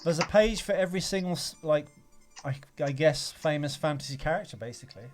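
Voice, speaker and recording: Guy on a movie podcast talking real quick, analytical and rambly, music playing behind him. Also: a little high. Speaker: podcast co-host. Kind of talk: casual film discussion. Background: film soundtrack music.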